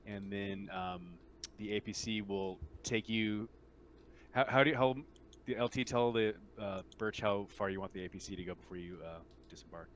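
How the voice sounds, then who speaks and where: A man running a tabletop game as game master, narrating casually in halting, hesitant phrases over online play. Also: on the low side, a little hiss or hum.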